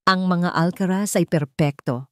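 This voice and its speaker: A haughty Filipino-accented mother, voiced by a woman narrator in an audiobook story, strict and obsessed with perfection. Her low voice is cold and cutting, recorded dry. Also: unhurried, darkish tone, no echo, natural voice. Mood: cold sternness. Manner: cutting, stern.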